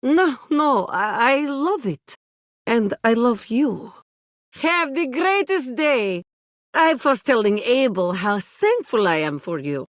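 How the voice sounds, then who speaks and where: A woman's voice: a little high, sing-song, slow, dry recording, studio quality. A woman playing a doting, sultry Russian sweetheart in a video game, speaking tender, formal broken English with a Russian accent. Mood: adoring. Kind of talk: video game character voice acting.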